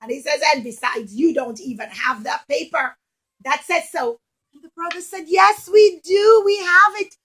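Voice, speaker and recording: A woman teaching young children a religious story in an expressive way, acting out the dialogue and putting questions to her listeners. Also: sits high, normal speed. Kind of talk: storytelling puppet show lesson for young children.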